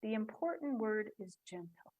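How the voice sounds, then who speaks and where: A woman guiding a breathing meditation in a soft, calm voice with long pauses. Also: medium pitch. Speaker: meditation guide. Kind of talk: guided meditation.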